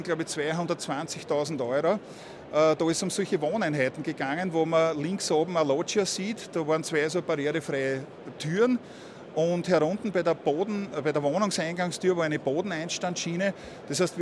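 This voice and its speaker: A man's voice: a little high, background noise. A man with an Austrian accent explains technical matters in a colloquial presentation, often adding a checking "ja".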